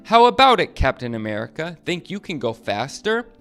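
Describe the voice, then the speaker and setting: A man's voice: a little high, sing-song, normal speed, fairly bright tone, smooth, soft background music. A man voicing a friendly armored superhero leading his team in a children's storybook, upbeat and encouraging. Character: friendly adult male armored hero, team leader.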